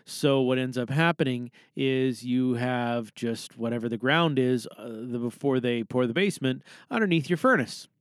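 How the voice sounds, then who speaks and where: A man's voice: medium pitch, clear, resonant tone, no room sound. Canadian guy podcast host, rambly casual chat, pokes fun at himself, really dry booth sound. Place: small recording booth.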